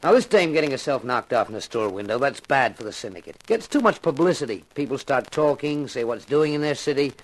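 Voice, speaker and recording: An actor playing a genial middle-aged American syndicate boss who talks in blunt street slang, in a 1950s radio drama. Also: male voice, mid-range voice, expressive, fairly quick, few pauses, close to an everyday voice, poor audio. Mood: blunt, explanatory. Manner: street slang, blunt, affably self-justifying.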